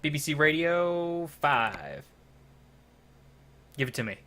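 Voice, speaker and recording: A man's voice: a little high, big pitch swings, takes it slowly, sharp tone. A man rambling casually and a little hesitantly as he hosts a gaming series, bemused by what he finds. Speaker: host of a gaming driving series. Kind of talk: casual rambling game commentary.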